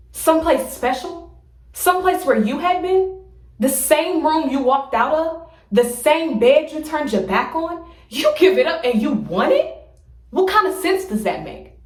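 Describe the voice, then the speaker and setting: A woman's voice: high-pitched, roomy sound. A woman performing a theatrical monologue as a wounded woman confronting the lover who left her, pleading and accusing with insistent repetition.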